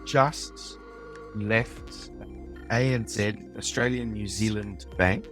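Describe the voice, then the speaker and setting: A man with a South African accent, a design leader speaking as a podcast guest in a thoughtful, measured way. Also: on the low side, music in the background. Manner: thoughtful, measured, some ums and uhs. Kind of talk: podcast interview.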